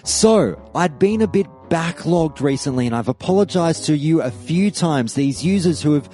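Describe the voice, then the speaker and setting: A man hosting a podcast and giving his opinion in a measured, deliberate monologue with firm emphasis. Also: on the high side, expressive, warm tone, faint music behind. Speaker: podcast host.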